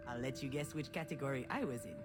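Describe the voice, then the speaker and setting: A woman's voice: very deep voice, fast talker. A woman playing a self-deprecating, wistful adult daughter, narrating her family memories in a reflective, conversational first-person voice for a visual novel. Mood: admiring, wry. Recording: prominent music under the voice. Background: loud music.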